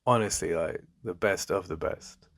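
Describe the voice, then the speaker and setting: Male actor as protective, jealous English best friend for ASMR roleplay; very low, creaky voice, halting and intimate. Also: fairly bright tone. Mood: tender, earnest. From England. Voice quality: deep. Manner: halting, conversational, emphatic repetition.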